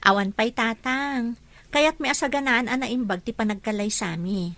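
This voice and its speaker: Actress as caring young daughter, highish voice. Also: female voice, moderate pace, a bit bright, close to an everyday voice. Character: dutiful, kind young daughter, engaged and caring. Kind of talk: Ilocano radio-style drama acting.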